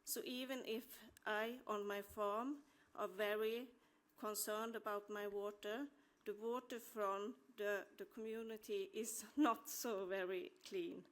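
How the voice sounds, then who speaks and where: A woman's voice: medium pitch, steady tone, a little slow. A woman dairy farmer from the west coast of Sweden, speaking English with a Swedish accent and hesitating often as she searches for words while asking a panel a question.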